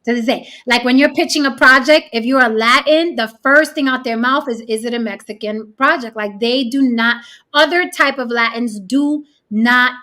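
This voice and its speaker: Bright, high-pitched woman host. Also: normal speed. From Puerto Rico. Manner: blunt, profane, emphatic. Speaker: show host. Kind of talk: casual podcast chat.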